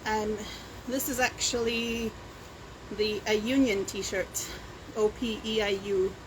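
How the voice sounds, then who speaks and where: A woman's voice: medium pitch, fairly even intonation, takes it slowly. A woman with a Scottish-sounding accent chatting casually in a rambling, self-deprecating way, as a home vlogger on a handheld camera. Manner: meandering, self-deprecating asides. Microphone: handheld camera or phone. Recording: clear background noise, handheld home video.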